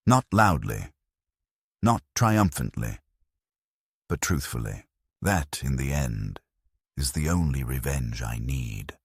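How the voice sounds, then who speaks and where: An older man narrating a first-person story in a slow, measured and reflective way. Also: extremely low pitch, husky, dry recording, studio quality.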